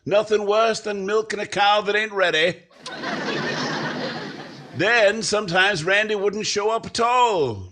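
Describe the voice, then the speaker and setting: A middle-aged man acting a dry, plainspoken farmer in 1940s radio comedy style, laconic and deadpan. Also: sits high, measured pace, pauses often, noisy background. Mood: dry, folksy.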